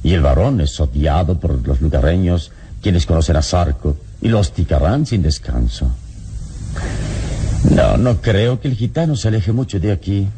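An actor playing a calm, protective adventure hero in a radio serial, speaking in a measured, soothing, deliberate way. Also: male voice, deep voice, slight background noise. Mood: pensive. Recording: vintage radio drama, music behind.

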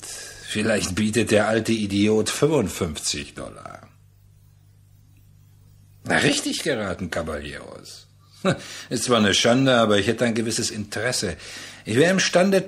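Slippery, jovial trader, swooping delivery. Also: male voice, mid-range voice. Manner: wheedling, chatty, condescending. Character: pushy, jovial, slippery male trader wheedling jungle hunters. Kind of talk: German radio play acting.

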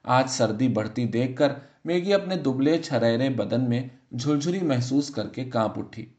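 Man narrating literary fiction, mid-pitched. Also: a little mellow, muffled recording. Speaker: audiobook narrator. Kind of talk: literary short story narration.

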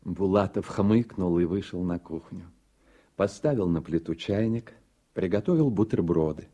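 Low, dark, gravelly male narrator. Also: normal speed. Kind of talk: scripted literary narration for radio drama.

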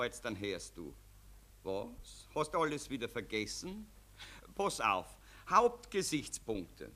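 An actor playing a jovial, condescending Austrian army general dictating emphatically in a 1940s German radio play. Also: male voice, on the high side, bright, forceful tone, exaggerated voice. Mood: jovial, impatient. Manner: condescending, wheedling, emphatic dictation in telegram phrases.

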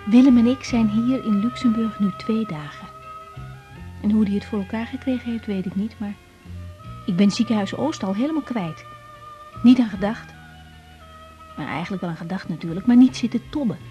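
An actress in a radio drama playing a reflective, tender young woman doctor who narrates her thoughts softly and intimately. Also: medium pitch, moderate pace, long pauses, soft background music, lightly acted. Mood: musing. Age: young adult. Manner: musing inner narration, soft and intimate. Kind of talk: 1980s radio drama acting.

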